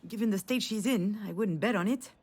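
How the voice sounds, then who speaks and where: A woman's voice: medium pitch, brisk, a little mellow, breathy edge, natural voice. A woman playing a guarded, wry young failed screenwriter in a visual novel, with a dry, sardonic, confiding manner. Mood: exasperated. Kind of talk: visual novel voice acting with inner monologue.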